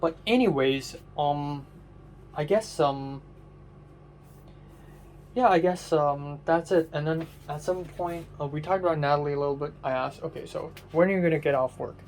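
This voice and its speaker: A man's voice: a little high, normal speed, darkish tone, a little hiss or hum. Young man rambling through a story. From Hong Kong. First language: Cantonese. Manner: rambling, frequent fillers and restarts; swears casually. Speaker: vlogger. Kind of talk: casual storytelling monologue.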